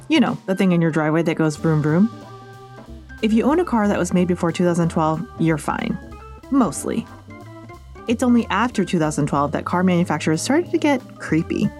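A woman's voice: fairly low pitch, darkish tone. An American woman hosting a podcast, reading a script with chatty, playful asides addressed straight to the listener. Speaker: podcast host and reporter.

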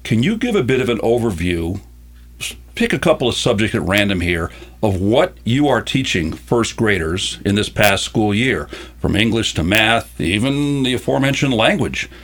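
A man's voice: lowish voice, sing-song, normal speed, fairly bright tone. An older man interviewing a guest, asking conversational questions with chatty, self-deprecating asides. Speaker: interviewer, local show host.

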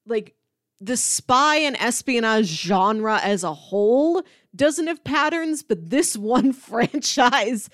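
A woman's voice: a little high, animated, takes it slowly, no echo, studio quality. A woman co-hosting a podcast, chatting casually and enthusiastically about films, with "like" sprinkled through her talk. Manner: enthusiastic, conversational, peppers talk with "like". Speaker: podcast co-host. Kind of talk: casual film discussion chat.

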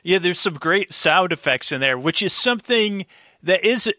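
A man as a podcast guest, chatting casually in a loose, halting, rambling way. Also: high-pitched, animated, bright, forceful tone, no room sound, studio quality.

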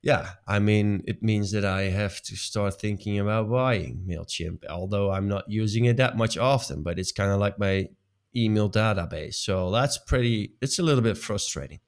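A man with a lowish voice talks in a relaxed, chatty way. English is not his first language, and he pauses often, restarting sentences and filling gaps with "uh.". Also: smooth. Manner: hesitant, many fillers and restarts. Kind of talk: casual chat.

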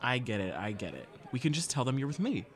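A man playing a cheeky, excitable teenage boy with a theatrical, teasing manner in a fiction podcast. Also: mid-range voice, fast, close to an everyday voice. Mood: reassuring. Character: excitable, cheeky teenage boy, loud loyal best friend. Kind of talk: audio fiction podcast acting.

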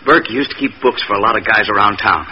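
A man's voice: fairly low pitch, quick pace, natural voice. An actor playing a shifty, greedy man with an evasive, nervous, insistent manner in an old radio crime drama. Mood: evasive, anxious. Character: shifty, greedy male client, evasive and anxious. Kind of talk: 1940s radio crime acting. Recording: muffled, faintly noisy old radio recording.

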